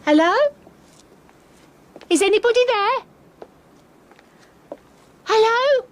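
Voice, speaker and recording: An actress with an English accent playing a gentle, anxious young wife and mother in a period radio drama, polite and soft-spoken. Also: very high-pitched, lively intonation, takes it slowly, a little hiss or hum, exaggerated voice.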